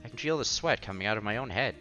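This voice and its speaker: A man's voice: on the low side, animated, rapid-fire. A man reading a visual novel aloud on a headset mic, acting out the dialogue and adding offhand comments in a casual way. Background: soft music.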